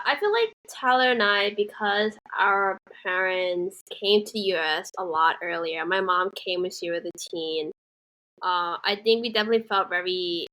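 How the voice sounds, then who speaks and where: Bright-voiced woman, chatty. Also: medium pitch, rough recording. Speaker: podcast co-host. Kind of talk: casual chat.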